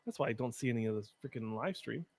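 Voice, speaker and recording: A young man with a deep voice, chatting casually and giving opinions while hosting a live-stream watch party. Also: animated, fast, creaky, darkish tone. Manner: chatty, opinionated, frequent fillers.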